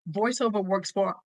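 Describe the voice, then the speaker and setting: A woman's voice: medium pitch, no echo, rough recording. A middle-aged woman co-hosting a casual advice podcast, talking encouragingly and directly to her listeners. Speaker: co-host.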